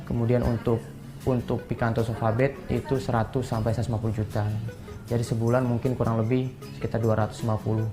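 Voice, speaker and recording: A man's voice: medium pitch, level tone, fast talker. A twenty-year-old man, explaining in an informal interview style and often tagging phrases with a casual filler. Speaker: young entrepreneur being interviewed. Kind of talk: interview talk, explaining his business. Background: guitar music.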